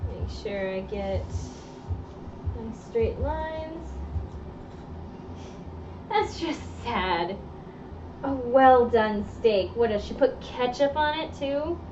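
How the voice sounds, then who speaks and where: A young woman chatting casually on a live stream while sewing, opinionated and quick to laugh. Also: a little high, animated, distant mic, muffled recording, music in the background. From Argentine heritage. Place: indoor sewing workroom.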